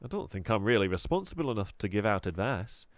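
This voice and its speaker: A man's voice: on the low side, big pitch swings, quick pace, no echo. A man voicing a jolly, chatty middle-aged male shopkeeper with eager salesman patter, in a dry recording. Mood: cheery salesy.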